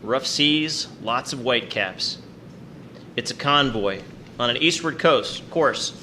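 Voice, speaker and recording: An actor playing a stern, cautious wartime submarine captain, giving clipped, commanding orders in a war drama. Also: male voice, mid-range voice, slow pace, pushed and bright, a little hiss or hum. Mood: focused. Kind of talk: radio-play style war drama acting.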